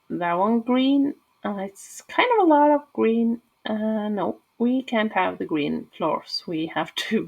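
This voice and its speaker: A woman's voice: mid-range voice, low-quality recording. A woman from Norway with a Norwegian accent, talking casually and hesitantly through a game build with many fillers. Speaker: gaming channel host.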